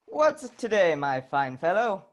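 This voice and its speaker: A woman's voice: medium pitch, big pitch swings, a little slow, a little hiss or hum. A young woman playing a repentant old miser in a dramatic, emphatic reader's theatre performance. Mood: giddy delight.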